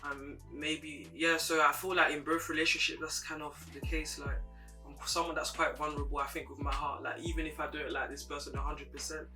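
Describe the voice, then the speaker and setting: A young woman with a naturally deep voice talks candidly and thoughtfully as an interview guest. Her delivery stays even and flat. She is recorded from a little distance, with clear music running underneath. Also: a little fast. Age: young adult. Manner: reflective and candid, speaking in a level tone. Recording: distant mic with music playing behind.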